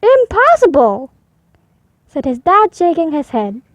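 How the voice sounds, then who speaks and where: High, lively woman reading a storybook. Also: no room sound. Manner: expressive, deliberate. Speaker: storybook reader. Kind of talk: read-aloud narration for young children.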